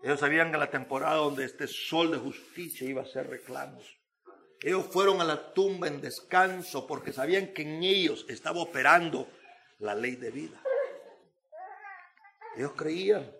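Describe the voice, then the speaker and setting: A man's voice: on the high side, moderate pace, gravelly, bright, forceful tone. A man preaching a sermon in an emphatic, repetitive manner, with rhetorical questions and phrases.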